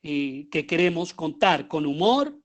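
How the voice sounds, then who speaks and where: Colombian man lecturing, halting delivery. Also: a little high, big pitch swings. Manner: halting, with pauses and rhetorical questions. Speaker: theatre teacher and lecturer. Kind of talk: explanatory lecture on writing audio fiction.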